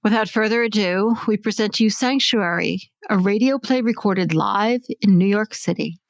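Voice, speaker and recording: A woman's voice: medium pitch, moderate pace, creaky, no echo. Woman co-host, dry close sound. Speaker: podcast co-host. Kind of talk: casual reflective chat.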